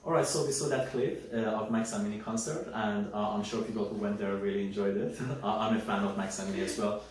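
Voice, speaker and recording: Male interview host, halting, lots of uhs, very echoey room, far from mic. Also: fairly low pitch, fairly even intonation. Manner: hesitant, many uhs, affirming. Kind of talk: interview questions. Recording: very echoey room, distant mic, faint noise.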